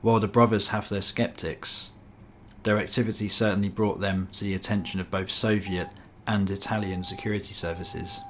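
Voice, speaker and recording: A man's voice: fairly low pitch, fairly even intonation, moderate pace, slight background noise. A man reading a scripted nonfiction piece as a documentary-style narrator.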